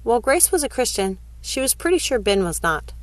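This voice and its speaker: A woman's voice: on the high side, sing-song, a little fast, no room sound. A woman narrating a novel aloud as an audiobook reader. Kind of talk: story reading.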